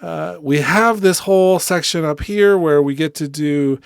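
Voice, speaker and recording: A man giving a casual, conversational software walkthrough as a tutorial host. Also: on the high side, unhurried, creaky, clean tone, dry recording, studio quality. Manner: informal, conversational.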